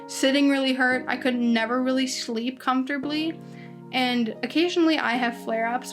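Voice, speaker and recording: A woman in her twenties hosting a podcast alone, talking casually and rambling with lots of "like"s and restarts. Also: high-pitched. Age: 20s. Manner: chatty, rambling, many "like"s and restarts. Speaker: podcast host. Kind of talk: casual solo podcast monologue. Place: indoors at home.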